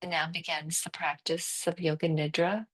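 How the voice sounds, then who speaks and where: A woman teaching guided yoga nidra meditation, speaking calmly and soothingly in short phrases with long pauses between them. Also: low voice, rough recording.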